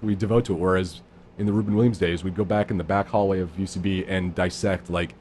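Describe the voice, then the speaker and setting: Low-voiced man in his thirties, easygoing podcast guest, self-deprecating; slight background hiss. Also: steady tone, quick pace, a little mellow. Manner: laid-back, self-deprecating, rambling. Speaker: improv guest. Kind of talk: casual podcast chat.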